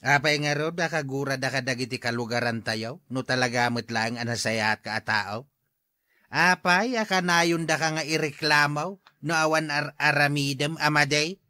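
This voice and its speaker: A man's voice: on the high side, normal speed, bright, forceful tone, no room sound, studio quality. A man playing an exasperated, reproachful elderly husband in a radio drama, nagging and questioning as he talks.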